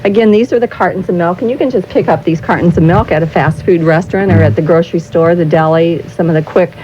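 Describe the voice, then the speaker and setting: A woman's voice: lowish voice, fast talker, a little mellow. Muffled radio sound, faint noise, woman, interview guest, instructive, informal explaining. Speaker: nutrition spokesperson, interview guest. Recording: dull, noisy old radio recording.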